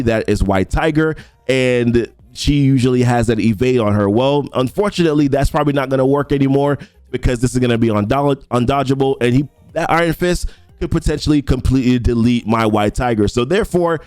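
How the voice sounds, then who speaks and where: A man's voice: mid-range voice, a little mellow, clear, resonant tone, dry recording. A young adult man giving casual commentary on a mobile game update. He reads ability text aloud and rambles with frequent restarts. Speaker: mobile game content creator. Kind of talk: casual game commentary reading patch notes.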